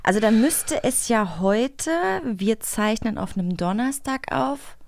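A woman's voice: on the high side, a little slow, a little mellow, slight background noise. A woman co-hosting a radio magazine in a casual, chatty interview style. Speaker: co-host of a radio play magazine.

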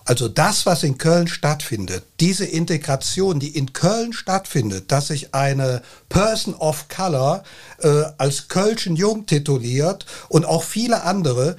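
A man's voice: a little high, lively intonation, measured pace, a little mellow. A middle-aged man telling anecdotes casually, emphatic and fond of repeating phrases. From Cologne, Germany. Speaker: podcast guest, taxi driver.

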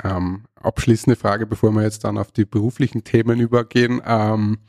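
A man's voice: lowish voice, level tone, normal speed, a little mellow, no echo, clean studio sound. A man hosting a podcast interview, asking questions in a friendly, hesitant way. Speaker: podcast host. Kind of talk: casual interview chat.

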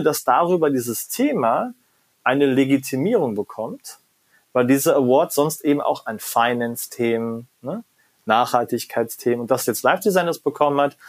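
A middle-aged German man, a professor, answering interview questions in a reflective, animated way and often ending phrases with "ja?" or "ne?". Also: mid-range voice. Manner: reflective, animated, frequent "ja?" and "ne?" tags. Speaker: guest, professor of creativity and design.

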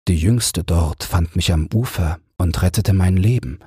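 A man voicing an affectionate young prince in a fairy tale audiobook, speaking in an earnest, tender, unhurried way. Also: low-pitched, darkish tone, husky, dry recording, studio quality, heavily acted. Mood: fond, earnest.

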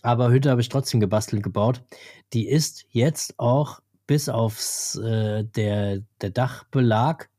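A man's voice: medium pitch, slow pace, mellow, no room sound, clean studio sound. A man co-hosting a podcast in casual chat, explaining things unhurriedly while getting over a slight cold with a scratchy throat. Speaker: podcast co-host.

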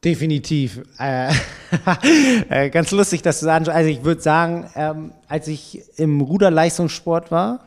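A young man in a casual podcast conversation, talking in a relaxed way and thinking aloud with many fillers. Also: a little high, a little slow. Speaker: podcast guest, triathlon editor.

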